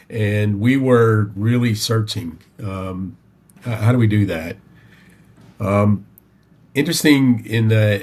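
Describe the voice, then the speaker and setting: Middle-aged man, Tennessee Southern accent, deep-ish voice, folksy, halting, conversational storytelling. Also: darkish tone. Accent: Southern American, Tennessee. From Tennessee, United States. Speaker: podcast guest.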